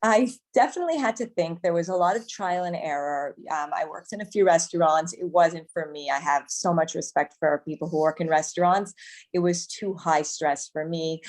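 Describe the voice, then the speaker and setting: A woman from Canada speaks as an interview guest in a low-pitched voice. She answers conversationally, as someone who teaches and writes for a living. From Montreal, Canada. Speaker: cooking teacher and cookbook author.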